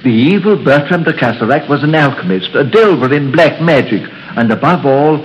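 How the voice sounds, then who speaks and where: An actor playing a solemn church elder who tells an old legend slowly and gravely, in a 1930s radio drama. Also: male voice, fairly high pitch, lively intonation, lightly acted. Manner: slow, solemn, measured storytelling. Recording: dull, noisy old radio recording.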